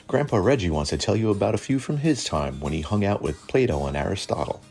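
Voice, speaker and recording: A man's voice: lowish voice, animated, fast talker, a little mellow, soft background music. A man narrating a scripted lore show as host, with dry joking asides.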